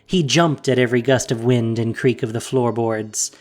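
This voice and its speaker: A man reading scripted fantasy story narration with expressive delivery. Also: medium pitch, moderate pace, faint music behind.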